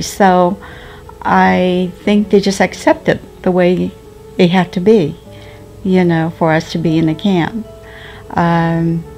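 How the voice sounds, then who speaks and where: A woman in her seventies whose first language is Japanese, recalling the past slowly and hesitantly, with many ums and restarts. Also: lowish voice, darkish tone. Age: 70s. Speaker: interviewee. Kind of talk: slow reflective recollection. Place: sunlit living room at home.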